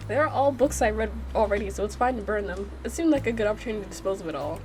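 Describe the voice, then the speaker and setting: A woman's voice: medium pitch, lively intonation, racing, a little mellow, a little hiss or hum, natural voice. A woman voicing a blunt, exasperated bookish man in a visual novel, in a dismissive, scolding manner.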